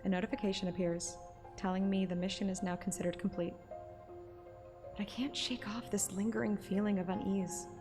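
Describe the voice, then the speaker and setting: A woman's voice: medium pitch, steady tone, fairly quick, over music. A woman narrating a story like an audiobook, with casual streamer asides. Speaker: streamer reading a game story aloud.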